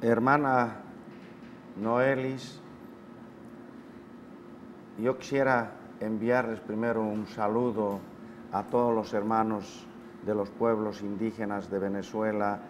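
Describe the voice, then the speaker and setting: A man from Bolivia with a Bolivian accent, a native Quechua speaker, speaking as an ambassador in a measured, ceremonious way. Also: lowish voice, level tone, slight background noise. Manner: very slow, measured, ceremonious greeting. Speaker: ambassador, interview guest.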